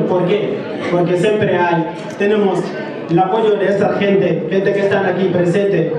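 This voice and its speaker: Earnest man speaking out in an echoey room. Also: sits high. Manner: earnest, rousing, slow emphatic phrasing. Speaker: actor speaking at an open mic. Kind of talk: impassioned speech to an audience. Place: small indoor performance space with brick wall. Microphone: handheld mic, far camera. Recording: echoey room, far from mic, audience.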